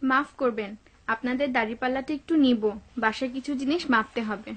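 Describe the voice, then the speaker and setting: A woman's voice: fairly high pitch, lightly acted. A woman playing the curious, polite wife of a poor woodcutter in a dubbed cartoon, full of questions. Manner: quick, questioning. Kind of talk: Bengali-dubbed cartoon acting.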